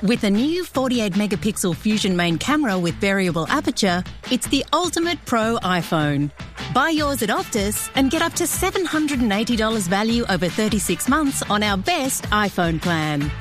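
A woman giving a brisk promotional read for a radio advert. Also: mid-range voice, sing-song. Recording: music bed.

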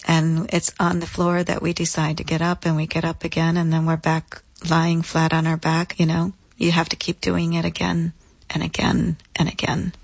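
Woman recounting her story, lowish dry voice. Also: completely flat delivery, vocal fry, slightly rough, dry recording. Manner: deliberate, emphatic phrasing with repetition. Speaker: interview guest. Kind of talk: reflective personal storytelling in an interview.

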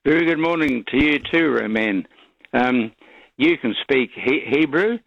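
A man's voice: medium pitch, lively intonation, a little slow, vocal fry, no echo. A man chatting casually as a caller to a radio show, over a dull phone line. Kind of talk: casual chat. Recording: dull band-limited phone call.

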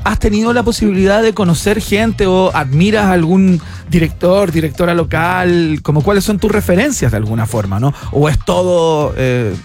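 Chatty male interviewer, slightly high voice. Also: normal speed, hardly any pauses, a little mellow, soft background music. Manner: chatty, frequent affirmations. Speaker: interview host. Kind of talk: casual interview chat.

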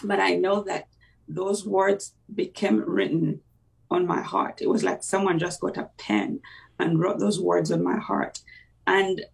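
Gravelly voice, halting. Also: mid-range voice, distant mic, poor audio. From Uganda. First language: Luganda. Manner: reflective, halting, with many fillers and restarts. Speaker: interview guest. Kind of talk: personal faith testimony in conversation.

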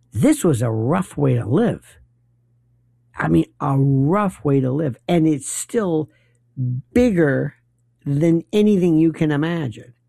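An older man hosting a late-night call-in radio show, his voice gravelly and mellow. He rambles from thought to thought with opinionated, animated asides. The sound is dry and close, from a studio mic. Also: on the high side, no echo. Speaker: radio talk show host.

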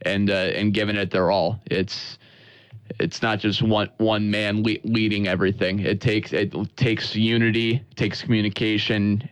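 A man with an American accent talking conversationally as a sports broadcaster interviewed on radio, earnest and halting, often repeating words. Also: on the low side, flat delivery, smooth, no room sound.

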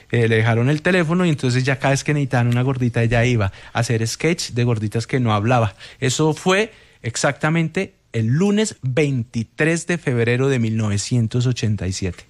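Colombian male comedian, mid-pitched, conversational storyteller. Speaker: comedian guest telling anecdotes. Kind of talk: casual anecdote telling.

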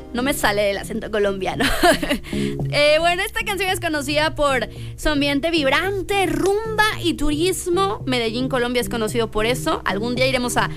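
Radio DJ, Mexican woman, high voice, rambling casual patter, faint music under her. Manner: rambling, chatty, lots of fillers.

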